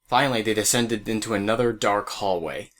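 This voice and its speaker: A man's voice: fairly low pitch, a little fast, fairly bright tone. A man narrating a scripted audio drama as a storyteller who speaks straight to the listener. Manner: storyteller addressing listeners directly.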